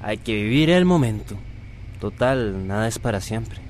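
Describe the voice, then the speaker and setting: An actor playing a carefree but concerned grown son in a radio soap opera. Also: male voice, fairly low pitch, lively intonation, a little slow, darkish tone, a little hiss or hum.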